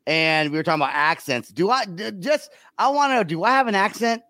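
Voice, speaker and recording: Quick, high-voiced male podcast host. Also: lively intonation, bright, forceful tone, no room sound, studio quality. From California. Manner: eager and jokey, quick follow-up questions. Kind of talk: casual podcast chat.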